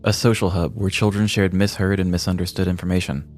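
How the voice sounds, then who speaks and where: A man's voice: low-pitched, little pitch movement, fast, a little mellow. An American man in his thirties reading scripted comedic story narration as a podcast host. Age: 30s. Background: faint music.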